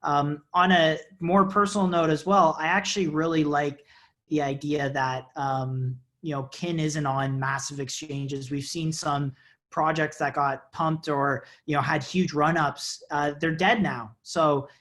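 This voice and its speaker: A man's voice: a little high, fairly even intonation, measured pace, fairly bright tone. A man in his thirties, answering community questions on a video call in an enthusiastic, explanatory way, with frequent uhs. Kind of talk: casual video call interview. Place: indoor office with banner. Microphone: earphone headset on video call.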